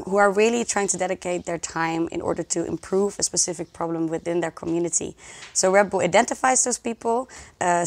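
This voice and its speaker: A woman speaking as a guest in a conversational interview, explaining things with enthusiasm. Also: fairly low pitch. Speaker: interview guest, social project community organizer.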